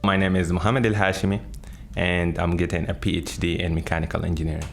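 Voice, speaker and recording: A young man with a Sudanese accent and a low voice talks casually as an interviewed graduate student. Music is clearly playing behind him. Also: level tone, fast talker.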